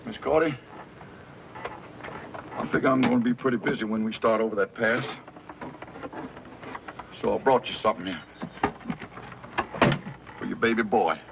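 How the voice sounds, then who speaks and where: An actor playing a kindly, plain-spoken American frontier wagon hand with rustic, folksy speech in a radio western. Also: male voice, on the low side, moderate pace, creaky, long pauses, background noise. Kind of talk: western radio drama acting. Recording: muffled lo-fi radio recording with music.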